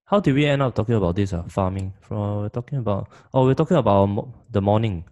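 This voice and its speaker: Young Singaporean male co-host, very low pitch with a phlegmy throat, jokey and casual. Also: fast, dark tone. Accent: Singaporean English. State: phlegmy, husky throat from haze. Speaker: podcast co-host. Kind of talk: casual chat.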